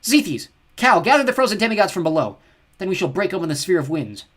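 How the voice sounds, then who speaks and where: A man's voice: high-pitched, big pitch swings, fast talker, fairly bright tone, voice pushed up, heavily acted. A man voicing a cruel, haughty female snow goddess who snarls and scorns. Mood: scornful.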